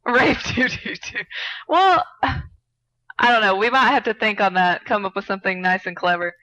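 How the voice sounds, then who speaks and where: A woman's voice: medium pitch, normal speed, pushed and bright, lo-fi sound. A woman co-hosting a podcast with casual banter and playful short asides. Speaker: podcast co-host.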